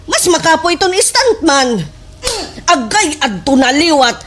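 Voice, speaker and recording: An excitable, gullible village sidekick played by a woman in a slapstick radio comedy. The voice is high and exclamatory, with a Waray Filipino accent and exaggerated delivery. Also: unhurried, heavily acted. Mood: explaining, fretful.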